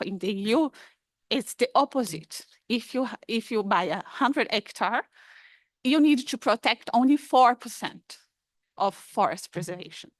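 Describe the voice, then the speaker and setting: A woman's voice: medium pitch, no room sound. Challenging woman, dry close sound. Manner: challenging, insistent, repeats words for emphasis. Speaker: audience member questioning a conference speaker. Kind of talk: spontaneous, argumentative question at a conference.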